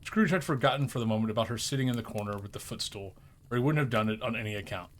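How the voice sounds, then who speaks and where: A man reading a classic story aloud as narrator, in an expressive storybook style. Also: medium pitch, racing.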